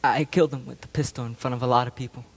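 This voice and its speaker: A man's voice: a little high, close to an everyday voice. Man acting a haunted war veteran in an echoing hall. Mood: haunted, subdued. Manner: halting, intense, swinging from hushed to explosive. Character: guilt-ridden male war veteran confessing, haunted and anguished. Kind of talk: theatrical stage monologue. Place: large echoing room, performing to an audience. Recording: reverberant hall recording.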